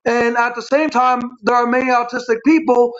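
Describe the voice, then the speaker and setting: A man speaking as a talk show guest with a stutter, explaining himself in a halting, repetitive, long-winded way. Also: very high-pitched, fairly even intonation, a bit bright. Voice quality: stuttering speech impairment. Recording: dull, lo-fi stream audio.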